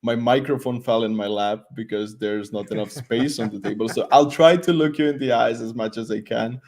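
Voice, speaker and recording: A man's voice: mid-range voice, a little fast, a little mellow. A man, giving an informal tech talk with a light, self-deprecating humour. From Croatia. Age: 20s. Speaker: conference speaker, developer advocate. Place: at home, makeshift desk setup, remote video call.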